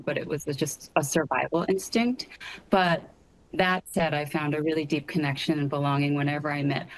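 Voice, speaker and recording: Middle-aged American woman, first language Korean, low voice, articulate and deliberate interview guest. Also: poor audio. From suburban New York, United States. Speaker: guest, artist. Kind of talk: interview answers.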